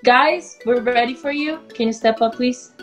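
A woman's voice: on the high side, normal speed, soft background music. A young woman leading a mock audition in an upbeat, bossy, mock-formal way over a video call.

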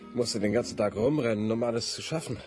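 An actor playing a pedantic, prim, earnest bureaucrat devoted to rules, precise and long-winded in a radio play. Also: male voice, mid-range voice, quick pace, a little mellow, soft background music, natural voice. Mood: flustered. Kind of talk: German radio play acting.

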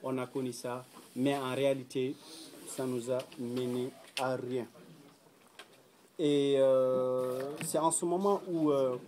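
Young guy from mauritania at a round table, warm low-key voice, lots of uh pauses, small room, camera a bit away. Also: fairly even intonation. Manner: measured, hesitant with frequent fillers, earnest. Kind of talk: public talk at a round table. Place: small indoor room with pale walls.

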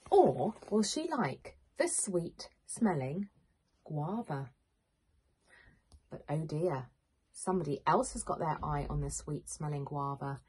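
A woman, a teacher reading a picture book to young children in an expressive, questioning manner. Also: low voice, slow pace, slight background noise, low-quality recording. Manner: expressive, questioning, clearly addressing small children. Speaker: primary school teacher. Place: small home room with bookshelves, indoors.

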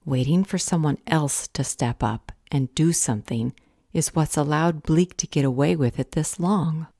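A woman playing a firm, determined leader in an audio roleplay drama, stern and measured. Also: deep voice, dark tone, no echo, clean studio sound. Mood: resolute. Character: firm, determined woman leading a fight against a villain.

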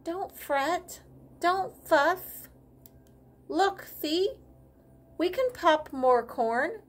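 A small, kind mouse speaks in a squeaky, coaxing voice, as a woman reading a picture book puts her on. The voice is high and the pace unhurried. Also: animated, heavily acted. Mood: soothing.

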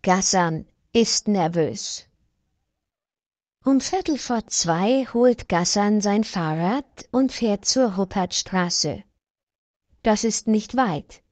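A woman reading a simple story aloud slowly and clearly for language learners. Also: mid-range voice, expressive, darkish tone, no room sound. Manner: slow, clear, evenly paced reading. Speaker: narrator.

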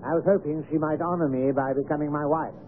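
An actor playing a forthright, lovestruck baronet in a radio mystery, speaking in a direct, gentlemanly way. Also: male voice, fairly high pitch, fairly even intonation, fast talker, close to an everyday voice. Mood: defiant. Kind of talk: 1970s radio mystery acting. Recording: tinny, noisy old radio broadcast.

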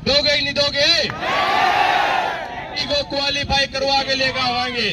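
A man's voice: extremely high pitch, flat delivery, moderate pace, loud music behind the voice. A man urgently rousing a protest crowd through a handheld mic outdoors. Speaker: protest speaker, lawyer. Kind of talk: rally speech. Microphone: handheld microphone. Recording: noisy crowd and traffic.

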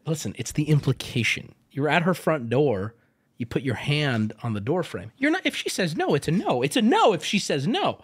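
A man streaming and reacting to a video, with crude, excitable joking commentary. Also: a little high, husky. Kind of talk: casual live-stream commentary.

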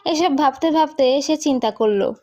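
A woman with a high voice narrates a folk tale for a cartoon. Her delivery is scripted storytelling. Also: moderate pace, darkish tone.

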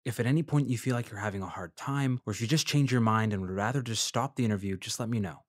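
An actor playing a polite, measured freelance journalist who narrates his investigation in a fiction podcast. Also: mid-range voice, no room sound, clean studio sound, close to an everyday voice. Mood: polite, careful. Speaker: narrator and lead character.

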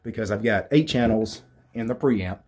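A man in his thirties with a deep voice, casually walking through his home studio gear, rambling with fillers and restarts. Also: moderate pace. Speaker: tech YouTuber. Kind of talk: casual equipment walkthrough. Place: small home studio with acoustic foam panels.

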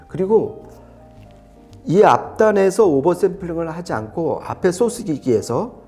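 A man's voice: high-pitched, lively intonation, unhurried, mellow, faint music behind. A man giving an unscripted technical product review in a conversational, explanatory and emphatic way. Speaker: audio equipment reviewer explaining specs.

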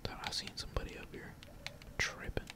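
Gamer whispering into a close mic, chill slangy asmr play-by-play, some cussing. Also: brisk. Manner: slangy, laid-back, casual swearing. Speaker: gaming streamer. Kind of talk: whispered ASMR gameplay commentary.

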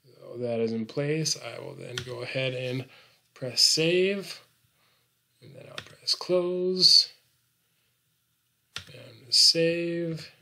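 A man presenting an unscripted software tutorial, explaining things informally step by step. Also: a little high, level tone, drawn-out words, long pauses.

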